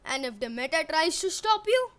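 A woman voicing a proud harvest goddess, sweet in disguise and booming in wrath. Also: big pitch swings, moderate pace, fairly bright tone. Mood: sweet, pleading.

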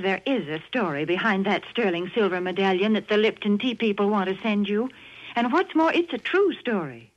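A woman announcer on a 1940s radio show, chatty, reading a sales pitch. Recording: dull, old radio recording.

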